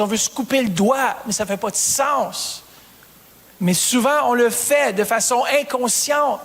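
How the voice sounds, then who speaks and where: High-voiced pastor preaching in a reverberant hall. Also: male voice, a bit bright. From Canada. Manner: preaching with rising emphasis and calls to the congregation. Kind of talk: sermon.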